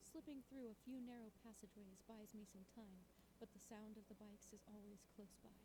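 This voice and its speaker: Lady playing a tough young cyberpunk heroine, intense first person game narration, mid pitched voice. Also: fairly even intonation, fairly quick, warm tone, breathy edge, close to an everyday voice, low-quality recording. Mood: urgent, dramatic. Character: tough, defiant young female cyberpunk fixer narrating her struggle. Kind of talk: dramatic first-person visual novel narration.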